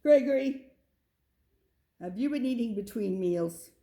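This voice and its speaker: A woman's voice: fairly high pitch, lively intonation, measured pace, dark tone. A woman voicing a proud, fretful father goat in a children's storybook read-aloud. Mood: stern.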